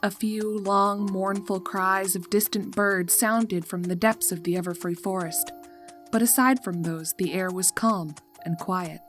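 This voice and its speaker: A woman narrating a scripted horror story in a measured, atmospheric storytelling manner. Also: medium pitch, fairly even intonation, a bit bright, soft background music.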